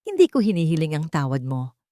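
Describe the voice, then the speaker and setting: A woman's voice: low-pitched, wildly swooping pitch, fast talker, dark tone, no echo. A remorseful young Filipino woman confessing in a dramatic story, as a woman narrator reads her. She is wistful and solemn, with a dry, close sound.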